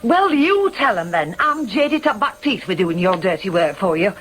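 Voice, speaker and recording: Sharp, scolding Northern English wife. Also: female voice, medium pitch, very animated, moderate pace, reverberant, a little hiss or hum. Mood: exasperated. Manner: forceful, exasperated, reproachful. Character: fed-up, scolding working-class Northern wife. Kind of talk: radio drama acting.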